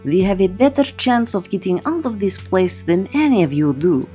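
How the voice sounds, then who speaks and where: A woman's voice: medium pitch, big pitch swings, moderate pace, dark tone, faint music behind, natural voice. A woman playing a resolute, self-sacrificing supernatural figure in an audio drama, firm and formal. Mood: firm, resigned.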